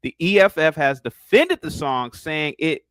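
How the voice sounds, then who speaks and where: A man from Ohio with a slightly high voice and a teasing, mocking manner. He reads text out loud and keeps breaking off to needle his guest. The recording is dry and close, with no room sound. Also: sing-song, measured pace, no echo. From Ohio, United States. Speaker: stream host. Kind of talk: casual debate stream.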